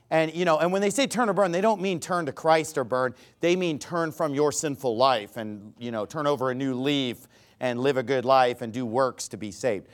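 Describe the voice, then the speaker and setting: A pastor delivering an emphatic, repetitive sermon, mocking at times. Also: male voice, fairly high pitch.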